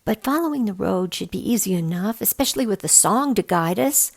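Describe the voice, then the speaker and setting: A woman's voice: mid-range voice, animated, brisk, vocal fry. A woman voicing a thoughtful, sensible, bookish young boy in a children's storybook, earnest and reasoning. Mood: reassuring.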